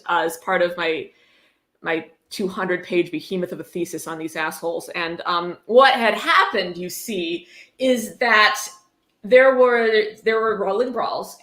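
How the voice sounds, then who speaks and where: Woman, livestream host, bright edgy tone, sarcastic asides, blunt, casual read-aloud, echoey room. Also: medium pitch. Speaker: host reading a book aloud with commentary. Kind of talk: casual livestream dramatic reading with commentary. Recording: roomy, echoey sound.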